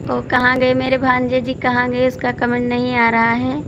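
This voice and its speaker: A hoarse woman hosts a casual live stream, reading out viewer comments and coaxing people by name to comment. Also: a little high, completely flat delivery, normal speed, dull audio, background noise. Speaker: folk singer hosting a live stream.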